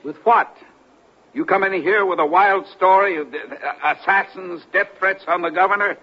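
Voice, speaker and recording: An actor playing a smug, quietly menacing official in a suspense radio drama, formal and controlled, on a muffled hissy broadcast. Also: male voice, high voice, normal speed. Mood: dismissive. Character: smooth, scheming male official, quietly menacing conspirator.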